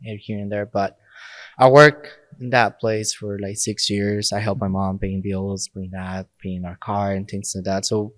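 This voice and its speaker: Guest: Mexican-born man, young adult priest, low-leaning voice, dry close sound, easygoing phrasing. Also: a little slow, no echo. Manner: relaxed, laughs often, speaks in short phrases. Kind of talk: casual interview talk.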